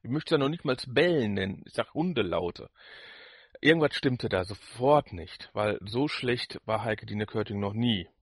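A man's voice: fairly low pitch, lively intonation, normal speed, husky, no echo, clean studio sound. A man co-hosting a podcast, giving his opinions in casual, unscripted chat. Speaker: podcast co-host.

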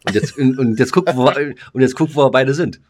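A man's voice: mid-range voice, fairly quick. A middle-aged man with a German accent chatting casually as a podcast co-host, blunt and slangy, teasing his partner. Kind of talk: casual, bantering chat.

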